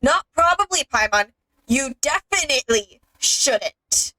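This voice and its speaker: A woman's voice: high voice, animated, slow, bright, forceful tone, no echo, low-quality recording. A woman co-playing a game on a stream, bantering casually. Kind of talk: casual banter over gameplay.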